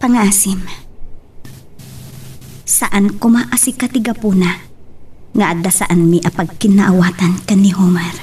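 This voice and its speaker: A woman's voice: mid-range voice, fairly even intonation, measured pace, long pauses, a little mellow, slightly rough. A woman playing a bashful young woman in a radio romance drama, with a Filipino accent. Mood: troubled, surprised. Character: Veronica, bashful young woman in love story.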